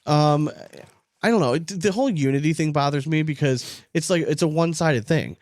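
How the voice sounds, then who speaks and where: A man's voice: fairly high pitch, fairly quick, darkish tone, no echo, clean studio sound. A man co-hosting a sports podcast in a casual, rambling, informal way, with some swearing. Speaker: podcast co-host.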